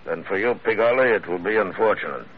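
A man's voice: fairly low pitch, quick pace, lightly acted. A male actor playing a cold, menacing crime boss in an old radio drama, languid and threatening. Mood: cold menace. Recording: tinny old radio recording with hiss.

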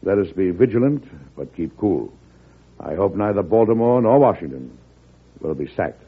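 A weary but resolute wartime president, very low and husky. He talks in a deliberate, folksy way, hesitating over words. It is old radio drama through a tinny, muffled recording. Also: animated, full character voice. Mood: grave, composed.

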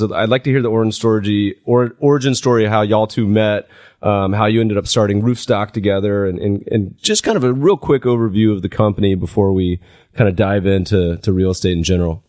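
A man's voice: fairly low pitch, dry recording, studio quality. A man hosting an interview podcast, chatting casually and a little stuffy from a cold. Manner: relaxed, chatty, with fillers and restarts. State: slightly congested with a cold. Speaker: podcast host.

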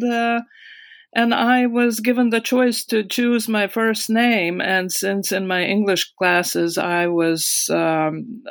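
Slow gravelly Latvian-accented woman. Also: on the low side. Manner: hesitant, many fillers and restarts, laughs softly. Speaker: interview guest. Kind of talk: conversational recollection.

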